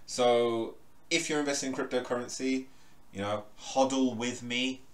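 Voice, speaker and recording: A man with a booming voice talks loosely to camera from his bedroom, full of fillers and restarts, as he stands a little way from his microphone. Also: steady tone, unhurried, distant mic, slight background noise. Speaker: crypto vlogger. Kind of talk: casual talk to camera. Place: bedroom, indoors. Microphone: microphone in the room, standing away from it. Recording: roomy bedroom echo.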